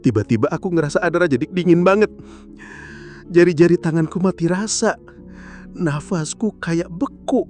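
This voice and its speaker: A man narrating a horror story in the first person, suspenseful and theatrical, pausing between short phrases. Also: high voice, a little mellow. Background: background music.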